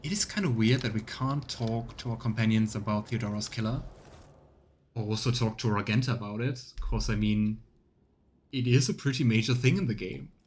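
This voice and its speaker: A man's voice: on the low side, moderate pace, darkish tone, slight background noise. A man giving casual live game commentary, musing and grumbling as he goes. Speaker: let's play streamer. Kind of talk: casual live game commentary, sometimes reading game text aloud.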